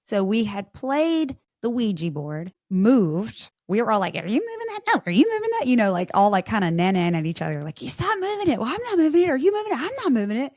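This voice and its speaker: A woman's voice: a little high, sing-song, fast talker, few pauses, a little mellow, no echo, studio quality. A woman in her forties, a podcast guest, telling personal stories in casual chat and acting out the voices of people in them. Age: 40s.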